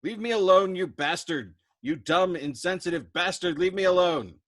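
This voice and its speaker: A wounded wartime airman in a storybook, as a male reader acts him out, crying out in desperate anguish. His voice is pushed high and loud as he pleads in exasperation, recorded close and dry. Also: expressive, normal speed, dry recording. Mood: sobbing rage. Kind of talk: acted audiobook dialogue.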